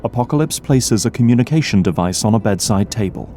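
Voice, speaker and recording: A man's voice: lowish voice, animated, fast talker, mellow, faint music behind. A man delivering dramatic scripted narration as the narrator of an audio drama.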